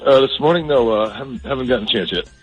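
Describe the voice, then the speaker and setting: A man with a husky, unclear voice speaks on a radio phone call. He is casual and halting, repeating words as he explains himself, over faint music. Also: medium pitch. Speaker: caller on a radio show.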